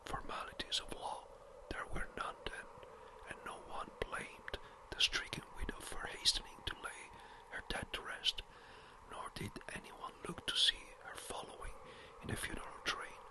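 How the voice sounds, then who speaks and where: A narrator whispering a classic ghost story in a hushed, evenly paced ASMR reading. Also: normal speed, low-quality recording. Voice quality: soft unvoiced whisper.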